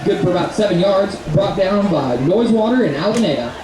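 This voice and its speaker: Male stadium announcer, high-pitched, clipped formulaic football calls, heavy echo, outdoor noise. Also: expressive, fairly quick. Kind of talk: public-address football announcing. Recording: very echoey, noisy outdoor loudspeaker.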